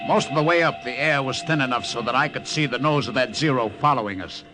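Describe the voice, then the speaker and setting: A man's voice: medium pitch, quick pace, lightly acted, low-quality recording. An actor playing an American wartime airman who recounts his mission in urgent, clipped, old-time radio style. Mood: grim narration. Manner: urgent, clipped, dramatic delivery. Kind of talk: 1950s radio adventure acting and narration. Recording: old radio recording, loud dramatic music.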